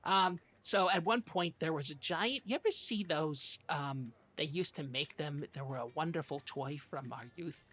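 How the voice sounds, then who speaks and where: Give this voice a bright, forward edge at a middling pitch. It is a podcast co-host in comedic chat, rambling and halting through stories with mock-serious insistence.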